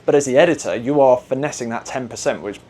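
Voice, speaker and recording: Fast-talking man explaining things. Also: mid-range voice. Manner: fast, animated explaining with analogies. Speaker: podcast guest, agency managing director. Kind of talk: casual podcast conversation.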